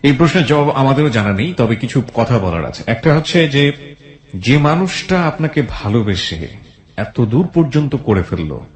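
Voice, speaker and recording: A man's voice: mid-range voice, big pitch swings, normal speed. A Bangladeshi man hosting a radio show, talking in a reflective, philosophical way to a guest and listeners. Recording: roomy echo on the voice.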